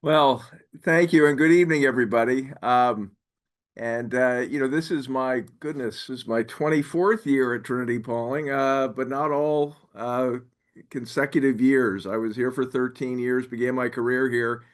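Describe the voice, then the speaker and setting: A middle-aged man speaking reflectively as head of school, in measured, halting phrases. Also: mid-range voice, fairly even intonation. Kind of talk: reflective webinar talk.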